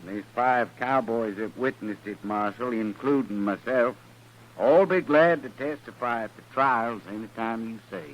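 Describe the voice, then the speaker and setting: A man's voice: medium pitch, measured pace, natural voice. A man acting a smug, falsely agreeable cattle boss with an in a 1950s radio drama. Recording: noisy old radio recording.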